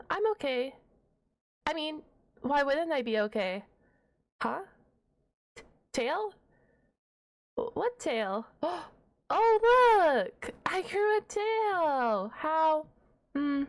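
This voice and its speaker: High-pitched, flustered half-dragon librarian played by a woman. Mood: nervous, evasive. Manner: stammering, bookish, wordy, awkwardly defensive. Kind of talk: ASMR roleplay audio drama.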